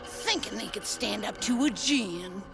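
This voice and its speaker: A woman's voice: mid-range voice, lively intonation, measured pace, vocal fry. A woman playing a scheming, gloating djinn baker in an audio adventure, with a taunting, theatrical menace. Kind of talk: villain monologue in audio adventure. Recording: music under the voice.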